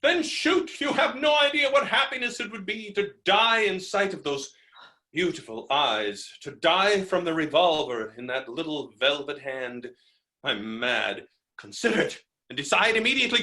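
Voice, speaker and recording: An actor playing a hot-headed, stammering landowner who is helplessly falling in love, in a theatrical staged reading of a farce. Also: male voice, sits high, animated, bright, forceful tone. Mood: desperate, lovestruck. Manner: theatrical, stammering, swings from halting to bellowing.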